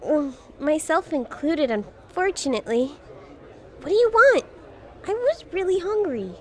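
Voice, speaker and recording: A woman's voice: high-pitched, very animated, unhurried, a little mellow. A woman playing a friendly, sympathetic teenage schoolgirl in an audio drama. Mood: defensive. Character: friendly, sympathetic teenage girl, principal's daughter.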